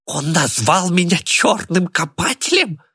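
A man voicing a cantankerous, sickly old man in an audiobook, spiteful and indignant, with a raspy, screechy voice. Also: big pitch swings, a little slow, sharp tone, exaggerated voice. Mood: shrieking anger. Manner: shrill indignant outbursts.

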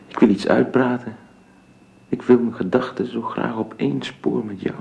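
An actor a radio play playing an earnest, restless suitor, speaking intimately. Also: male voice, medium pitch, fairly even intonation, normal speed, gravelly, mellow, highs cut off, slight background noise. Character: earnest, restless male suitor pressing for closeness.

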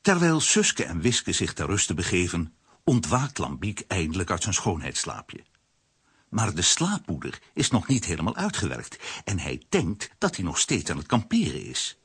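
A man narrating a scripted children's story in a rough, husky voice with animated storytelling. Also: low-pitched, normal speed, heavily acted.

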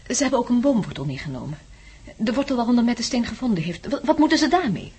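Anxious, high-voiced wife. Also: female voice, sing-song, fast, darkish tone, husky, full character voice. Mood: anxious questioning. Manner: anxious, hesitant. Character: worried, polite wife of a missing adventurer. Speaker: actress in a radio play. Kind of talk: radio drama acting.

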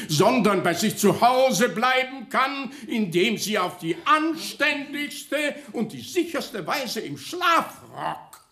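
An actor playing an officious, mocking official who declaims a grandiloquent satirical speech in a radio play. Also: male voice, high voice, fairly bright tone, exaggerated voice. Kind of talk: German radio play acting. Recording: roomy, echoing radio studio sound.

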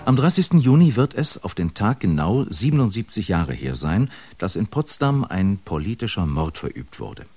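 Deep-voiced male radio announcer. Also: big pitch swings, moderate pace, hardly any pauses, dark tone. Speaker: radio announcer presenting upcoming crime dramas. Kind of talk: scripted program trailer narration.

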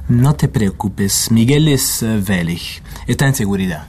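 An actor playing a menacing militant in an audio drama, taunting and deliberate. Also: male voice, fairly low pitch, lively intonation, darkish tone, a little hiss or hum, natural voice. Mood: quietly menacing. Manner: taunting, deliberate, switching between Dutch and Spanish. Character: menacing Basque militant, interrogating man.

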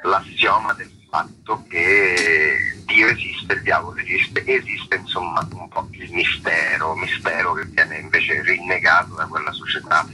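A man's voice: low voice, steady tone, a little slow. A man, an actor giving a reflective interview, searching for words and often rewording, over a noisy radio connection. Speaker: stage actor interviewed about a show.

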